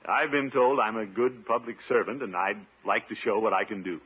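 A man's voice: lowish voice, steady tone, moderate pace, husky. An actor playing a pompous, eager middle-aged city official in a 1950s radio comedy, blustering and bluffing his way along. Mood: earnest. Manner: blustery, chuckling, hesitant self-important delivery. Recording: noisy, tinny 1950s radio recording.

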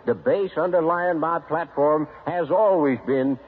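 A man's voice: a little high, unhurried, breathy edge, voice pushed up. A man voicing a pompous, long-winded male politician who rambles and corrects himself. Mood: blustering. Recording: tinny phone-like sound.

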